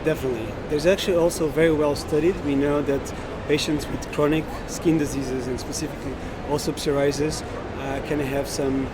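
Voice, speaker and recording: A man from Portugal with a Portuguese accent, explaining things as a dermatologist being interviewed, often pausing mid-sentence. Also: on the high side, fairly even intonation. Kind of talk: conversational interview answers. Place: congress exhibition floor. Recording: loud busy congress-floor noise.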